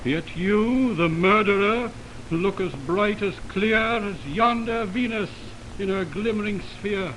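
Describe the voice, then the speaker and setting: A man's voice: sits high, slow, fairly bright tone. A man playing an ardent, spurned young lover, declaiming florid verse in a 1920s radio Shakespeare drama. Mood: wounded pleading. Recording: noisy 1929 radio aircheck from optical film.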